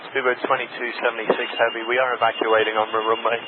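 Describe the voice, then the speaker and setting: A male airline pilot talks rapidly in a mid-pitched voice. He uses clipped radio phraseology. The sound is thin and band-limited, like a cockpit transmission. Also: flat delivery. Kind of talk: clipped radio readbacks and calls. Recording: noisy narrowband cockpit radio.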